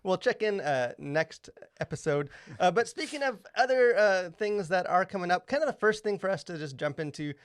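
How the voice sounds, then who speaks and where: A man's voice: high-pitched, lively intonation, normal speed, hardly any pauses, smooth, dry recording. Male podcast host, enthusiastic, hesitant delivery, dry close sound. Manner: enthusiastic, lots of filler hesitations. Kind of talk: casual podcast chat.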